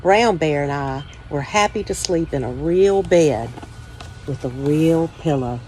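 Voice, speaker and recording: A woman's voice: deep voice, expressive, measured pace, darkish tone, slight background noise, low-quality recording. A woman reading a children's storybook aloud as a first-person narration. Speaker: story time reader.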